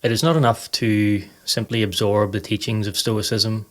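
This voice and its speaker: A man narrating a scripted guided meditation in a calm, measured, instructive way. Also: on the low side. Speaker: podcast host and meditation guide.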